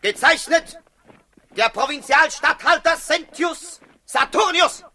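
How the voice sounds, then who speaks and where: A Roman herald crying out an official decree. His voice is very high, hard and piercing, with stiff formal declamation in a 1960s radio drama. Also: takes it slowly, soft background music, heavily acted. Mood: officious. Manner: loud, formal, stilted proclamation. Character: stern Roman herald proclaiming an imperial census decree.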